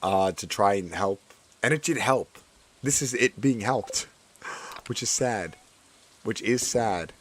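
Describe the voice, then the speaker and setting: Hoarse man chatting over gameplay. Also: on the low side, animated, takes it slowly, long pauses, slight background noise. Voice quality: voice cracking. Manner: chatty, repeats his own phrases. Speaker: gaming streamer. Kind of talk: casual gameplay commentary.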